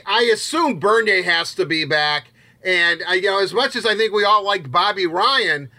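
Bright, high-voiced man with halting delivery. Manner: halting, repeats words as he starts phrases. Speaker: sports podcast co-host. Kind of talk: casual sports discussion.

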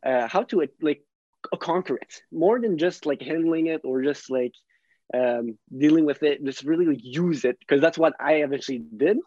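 A man's voice: fairly high pitch, moderate pace, mellow, no echo, lo-fi sound. A man with a French-Canadian accent, a podcast guest talking about himself in an earnest, loose way with lots of "like". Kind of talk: casual podcast interview.

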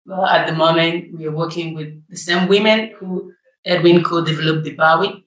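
Young female student from Nigeria, mid-pitched, roomy echo, brief and soft-spoken. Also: fairly even intonation, normal speed. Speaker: graduate student.

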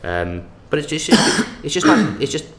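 A man's voice: medium pitch, wildly swooping pitch, normal speed, fairly bright tone, slight background noise. A man in casual academic discussion, talking with many restarts and emphatic bursts.

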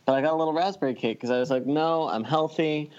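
A man's voice: a little high, fast, a little mellow. A man co-hosting a podcast, talking in a slangy, wry way in casual chat with friends. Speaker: podcast co-host. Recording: slightly dull, lo-fi microphone sound.

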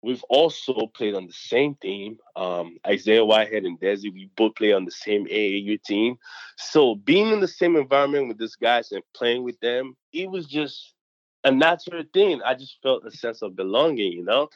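A young man from Nigeria, whose first language is not English, telling stories as a student athlete in a casual interview, chatty and animated, with many fillers. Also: mid-range voice, moderate pace, no room sound, muffled recording.

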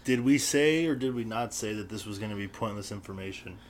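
A man's voice: lowish voice, brisk. A young man co-hosting a casual chat, rambling through stories with lots of fillers. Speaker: podcast co-host. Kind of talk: casual chat between friends.

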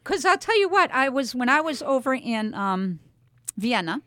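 A woman's voice: high voice, sing-song, moderate pace, sharp tone, no echo. A middle-aged woman hosting a chatty radio interview in an effusive, encouraging way. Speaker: radio host and voice teacher interviewing young performers. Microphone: studio microphone with headsets.

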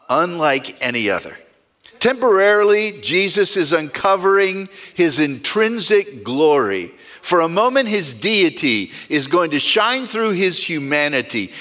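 A man's voice: fairly high pitch, sharp tone. A male pastor preaching a sermon in a conversational way, asking the congregation rhetorical questions.

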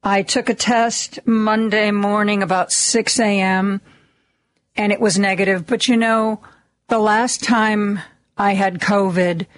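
A woman hosting a radio talk show in a studio, with a slightly raspy, hoarse voice and a chatty, self-deprecating way of talking full of ums and restarts. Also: medium pitch, fairly even intonation, slow pace, no echo. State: a little hoarse, lingering cough. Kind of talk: casual on-air monologue. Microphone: studio microphone.

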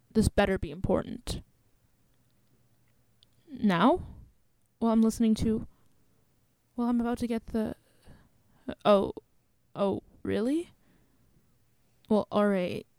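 Woman refugee survivor, radio drama, soft dark tone, measured, grave, close dry recording. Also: medium pitch, steady tone, no room sound. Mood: flustered, urgent. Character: guarded woman refugee who survived genocide. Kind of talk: radio play acting.

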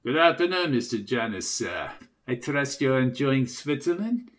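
A man voicing a stern, coldly polite older German interrogator in an audio story, formal, measured and quietly threatening. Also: medium pitch, very animated. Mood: coolly polite.

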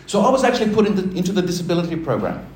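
A man's voice: high-pitched, sing-song, very fast, darkish tone. Man preaching in a roomy church. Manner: conversational, self-deprecating, laughing with the congregation. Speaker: pastor. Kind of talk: personal testimony sermon. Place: church hall. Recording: roomy church hall sound.

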